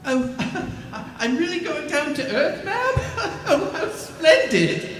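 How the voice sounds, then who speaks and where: A woman's voice: high voice, sing-song, takes it slowly, over music, full character voice. A woman playing a bumbling, eager old apprentice angel in a live radio play, hesitant, stammering and deferential. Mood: delighted. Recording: very echoey room, distant mic, faint noise.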